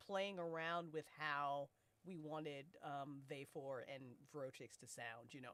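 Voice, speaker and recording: A woman chatting casually and excitably as a host, slangy and swearing freely. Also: on the low side, dry recording. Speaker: director and host of a fiction podcast cast discussion. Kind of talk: casual chat.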